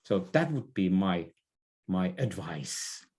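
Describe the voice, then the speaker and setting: A man's voice: deep voice, big pitch swings, takes it slowly, darkish tone. A middle-aged man gives advice in a conversational interview, often hesitating and repeating words. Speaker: podcast guest, business expert.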